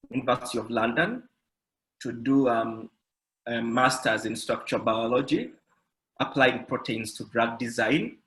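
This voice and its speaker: A man from Uganda, a scientist and startup founder, introducing himself on an online panel in a measured way with pauses. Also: mid-range voice, a bit bright, rough recording.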